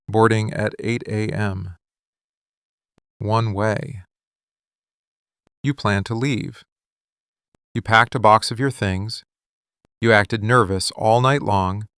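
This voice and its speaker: Male actor as possessive mafia boss, audio roleplay. Very unhurried, gravel-edged, deliberate interrogator with a wounded streak. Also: fairly low pitch, fairly bright tone, no echo, studio quality. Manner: deliberate, clipped, interrogating delivery. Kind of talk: boyfriend ASMR roleplay acting.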